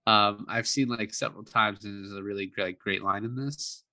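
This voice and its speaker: A man's voice: on the low side, fairly even intonation, moderate pace, bright, forceful tone. A man co-hosting a casual film review podcast, chatting in a jokey way. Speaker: podcast co-host.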